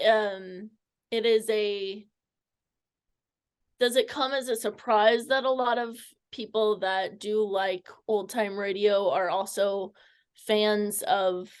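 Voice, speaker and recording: A woman's voice: medium pitch, clear, resonant tone. A woman interviewing a guest in a casual chat, with hesitant, tentative phrasing.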